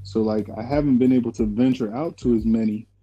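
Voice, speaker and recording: A man's voice: medium pitch, steady tone, fairly quick, mellow, highs cut off, faint music behind. A man in casual podcast chat, rambling with many fillers and restarts.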